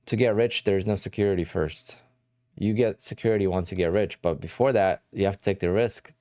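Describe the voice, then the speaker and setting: Man, very low voice, mellow tone, casual rambling livestream chat. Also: no echo, clean studio sound. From New Jersey. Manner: rambling, conversational, answers chat questions. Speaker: day trading livestream host.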